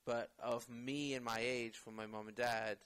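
A man's voice: medium pitch, little pitch movement, unhurried, a bit bright, clean tone. A man talking casually as an actor guest in an interview, rambling with many fillers and restarts.

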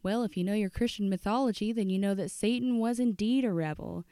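A woman's voice: medium pitch, a little fast, dark tone, clear, resonant tone, dry recording, studio quality, voice pushed up, lightly acted. Woman putting on a theatrical radio call-in host.

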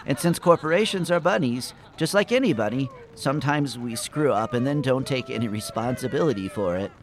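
Man playing an animated, evasive corporate rabbit spokesman. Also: mid-range voice, a little fast, a little hiss or hum, close to an everyday voice. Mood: smugly blithe. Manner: blithe, hedging, officious. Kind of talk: comic radio play acting.

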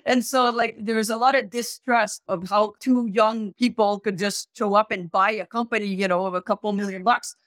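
A woman in her thirties from Quebec, a guest recounting her business journey in lively conversation. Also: moderate pace, hardly any pauses, no room sound. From Canada (Québec). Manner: animated, rises in pitch when emphasizing. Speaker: guest, search fund entrepreneur. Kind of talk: conversational interview.

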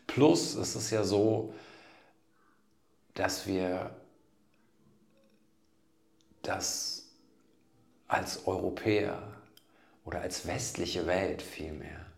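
Slow, low, gravelly German man. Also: darkish tone. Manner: thoughtful, halting, restarts phrases. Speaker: guest writer. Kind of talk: reflective conversation. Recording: roomy echo.

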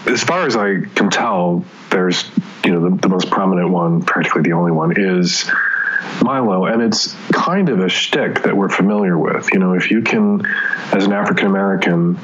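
A man's voice: sits low, moderate pace, fairly bright tone, slight background noise. Blunt, emphatic man on a muffled line. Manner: emphatic, blunt, swears freely, trails into asides. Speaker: guest, newspaper columnist. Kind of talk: opinionated conversation. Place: Seattle area.

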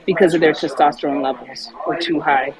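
A woman with a slightly low voice makes emphatic, punchy points in casual talk. A clear crowd murmur runs behind her. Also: fairly even intonation, fairly quick, rough recording. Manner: emphatic, punchy one-liners. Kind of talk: casual podcast chat.

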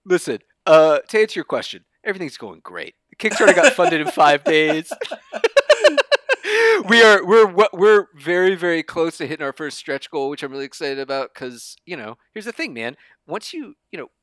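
A man's voice: fairly high pitch, animated, normal speed, fairly bright tone, no room sound, studio quality. A man speaking as a guest in a casual, bantering conversation, slangy and effusive about his project. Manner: slangy, effusive, says "man" and "dude" often. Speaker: guest, comics creator.

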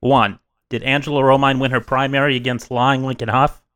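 Deadpan man co-hosting a podcast. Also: mid-range voice, fairly quick, clean tone. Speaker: podcast co-host. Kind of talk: casual podcast banter.

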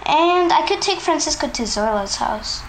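High-voiced aunt played by a woman, calm and instructive, noisy amateur audio. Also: moderate pace. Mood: reassuring. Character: calm adult woman smuggling nephew, Tia Blanca. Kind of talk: amateur audio drama acting.

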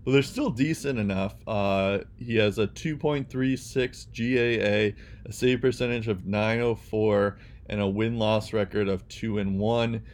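Young male podcast co-host, lower register, halting casual delivery. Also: steady tone, a little mellow. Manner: hesitant, many ums and restarts. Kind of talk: casual sports podcast chat.

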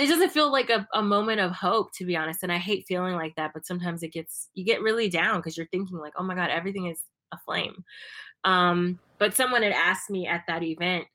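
A woman's voice: fairly low pitch, sharp tone. A young woman from the United States talking casually and reflectively, with many fillers and restarts. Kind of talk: casual conversation.

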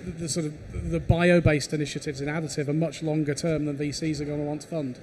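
A man's voice: fairly high pitch, flat delivery, quick pace, a little mellow, slight background noise. A man speaking as a panelist in a panel discussion, stammering on restarts as he builds his point.

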